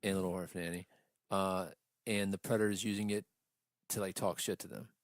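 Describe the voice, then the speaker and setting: A man chatting casually as a podcast host, ranting through a story in a profane, exasperated, animated way. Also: low voice, a little fast, dry recording, studio quality. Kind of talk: casual movie rant chat.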